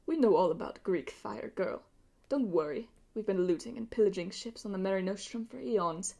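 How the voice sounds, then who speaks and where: A woman narrator acts out a golden-masked male pirate villain from a storybook. He is pompous and bitter, savoring his threats with a breathy, drawn-out menace. His delivery is theatrical and taunting. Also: mid-range voice, lively intonation, a bit bright, exaggerated voice. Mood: mocking.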